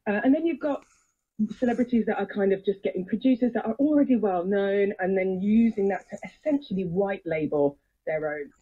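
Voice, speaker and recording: Muffled, husky talker through an old radio. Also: mid-range voice, a little fast. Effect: played through an old valve radio. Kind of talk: casual radio discussion.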